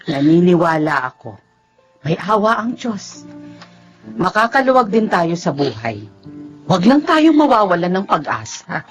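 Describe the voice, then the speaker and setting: A woman's voice: fairly low pitch, very animated, a little slow, long pauses, soft background music. A woman acting a consoling, faithful older mother in a radio drama, speaking earnestly. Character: comforting, faithful older mother of a poor family.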